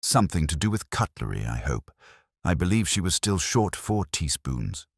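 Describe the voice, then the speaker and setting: A man voicing a calm, courteous police inspector in an audiobook mystery, formal, dryly understated and quietly probing. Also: deep voice, normal speed, vocal fry, husky, dry recording, clean studio sound, full character voice.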